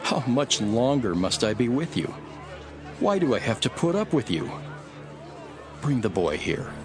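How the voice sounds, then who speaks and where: Earnest commanding holy teacher, lowish lively voice, bible drama, music under. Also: male voice, measured pace. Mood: stern. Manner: authoritative, earnest. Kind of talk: dramatised scripture audio.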